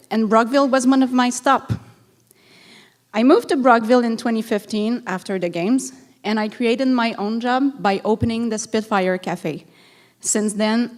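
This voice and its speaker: A woman's voice: mid-range voice, darkish tone. A woman from Montreal with a Quebec French accent, reading a prepared speech as a candidate at a city council debate. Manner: earnest, reads a prepared statement.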